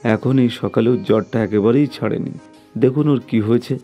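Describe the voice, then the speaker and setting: A male narrator plays an anxious, polite father in a cartoon horror tale. He has a mellow voice, with soft music behind. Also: medium pitch, normal speed, dull audio, lightly acted.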